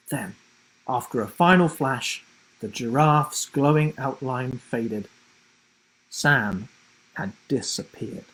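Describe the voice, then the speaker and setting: A man's voice: mid-range voice, breathy edge, rough recording. A man narrating his own children's book expressively, as an author reading aloud to young listeners.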